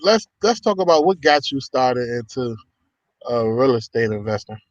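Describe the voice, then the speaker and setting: A man's voice: mid-range voice, very animated, moderate pace, no room sound, lo-fi sound. A man hosting a casual livestream podcast, welcoming viewers with upbeat energy and lots of ums and uhs. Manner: upbeat, hype-style welcomes with many fillers. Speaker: podcast host.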